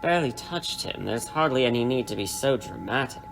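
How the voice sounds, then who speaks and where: A man playing a menacing crime boss in an audio drama, with a mockingly polite, formal, unhurried manner. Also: medium pitch, lively intonation, creaky, fairly bright tone, soft background music, low-quality recording. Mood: coolly bargaining. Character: suave, menacing male crime boss interrogating captives.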